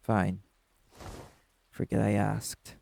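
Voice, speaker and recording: A man's voice: level tone, extremely slow, a little mellow, slight background noise, full character voice. A man playing a brash, guarded young werewolf musician in an audio drama, with curt, sarcastic, dismissive delivery. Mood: guarded, sardonic. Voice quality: gravelly, low. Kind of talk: dramatic audio roleplay acting.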